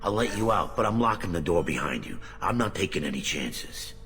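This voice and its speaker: An actor playing a bitter, distrustful colony mechanic in a video game, with a gruff, sullen, grumbling manner. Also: male voice, low-pitched, big pitch swings, brisk, fairly bright tone, soft background music, full character voice. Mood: bitter, grudging. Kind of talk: video game dialogue acting.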